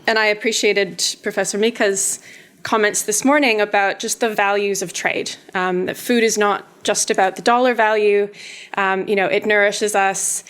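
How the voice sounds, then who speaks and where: A woman's voice: mid-range voice, a bit bright, clean tone. Earnest Canadian woman giving a panel talk. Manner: earnest, enthusiastic, some fillers. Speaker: panelist, health researcher. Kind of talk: conference talk.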